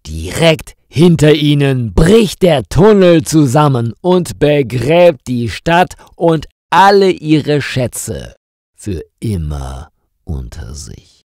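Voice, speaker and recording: A man narrating a scripted story for language learners, reading slowly and expressively with dramatic rises. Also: a little high, very animated, darkish tone, no echo, clean studio sound.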